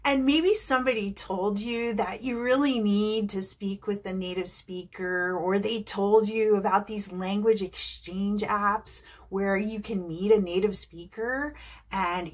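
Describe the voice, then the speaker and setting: An American woman from New Jersey, an English teacher who talks viewers through tips in a chatty, encouraging way. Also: medium pitch. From New Jersey, United States. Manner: chatty, encouraging, asks rhetorical questions. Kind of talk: video lesson to camera.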